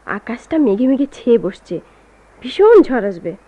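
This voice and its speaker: High, slow-spoken fretful woman. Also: very animated. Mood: concerned. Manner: fretful, musing. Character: concerned, hesitant, kind-hearted woman talking to herself and a stranger. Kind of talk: Bengali radio drama acting. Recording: dull lo-fi radio recording, clear noise.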